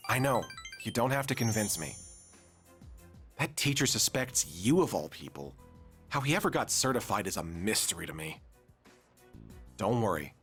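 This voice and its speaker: A man playing a composed, loyal, formal high school student in a video game. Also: sing-song, normal speed, long pauses, fairly bright tone, soft background music.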